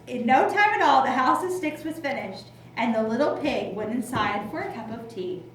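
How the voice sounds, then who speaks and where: A woman's voice: fairly high pitch, expressive, moderate pace. Woman narrating in a very echoey room. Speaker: puppet show narrator. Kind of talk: scripted children's storytelling. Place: indoor room at a library. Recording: very echoey room, distant mic, clear noise.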